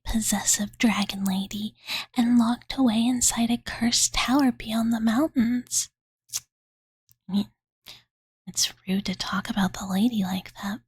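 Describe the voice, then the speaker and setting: Casting: woman playing sweet, slightly pouty dragon girl for ASMR bedtime roleplay; very breathy whisper, unhurried, close and dry. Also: a little high, no echo. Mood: cute musing. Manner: slow, gentle, valley-girl filler "like", refers to self in third person. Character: cute, childlike dragon girl, devoted and slightly pouty, telling bedtime stories. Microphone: close studio condenser microphone, dry.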